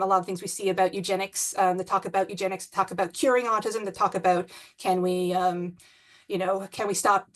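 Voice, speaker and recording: A woman with a New Zealand accent giving an online academic presentation on a video call, with many pauses and restarts. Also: medium pitch. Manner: hesitant, many ums and restarts. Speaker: conference presenter.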